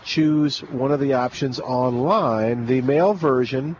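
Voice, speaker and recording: Male radio host, chatty and rambling, mid pitch, outdoor remote broadcast, muffled audio. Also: measured pace, darkish tone, a little hiss or hum. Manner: rambling and chatty, many fillers and restarts. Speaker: radio gardening show host. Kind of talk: live call-in radio chat.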